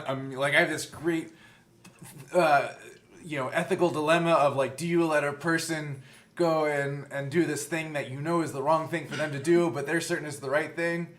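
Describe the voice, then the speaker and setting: A man's voice: fairly high pitch, fairly bright tone. A man who co-hosts a podcast, explaining things casually in a hesitant, self-correcting way. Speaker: podcast co-host, game master. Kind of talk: casual chat, reflective explaining.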